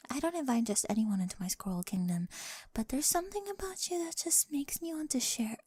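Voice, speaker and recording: A young woman playing a quirky, awkward, talkative high school girl with a crush in an ASMR roleplay, rambling eagerly and often slipping into breathy whispers. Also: warm tone, no echo. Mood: soft, inviting. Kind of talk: scripted ASMR roleplay, addressed to the listener. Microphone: close, dry studio-style microphone.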